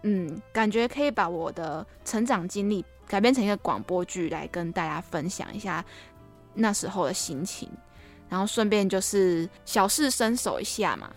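A woman's voice: medium pitch, lively intonation, moderate pace, soft background music. Playful young woman hosting a podcast. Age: young adult. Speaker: podcast host. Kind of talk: casual, chatty podcast monologue.

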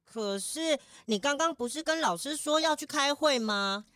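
A woman's voice: sits high, animated, normal speed, a bit bright, dry recording, clean studio sound. A woman voicing an eager, earnest young schoolboy with a childlike manner in a children's audio drama.

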